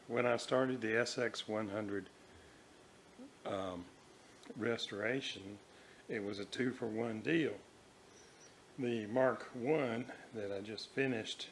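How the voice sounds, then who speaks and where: Slow, halting man over clear background noise. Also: medium pitch, fairly bright tone. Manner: halting, hesitant, broken into short phrases. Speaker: hobbyist radio restorer presenting own video. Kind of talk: unscripted workbench vlog narration.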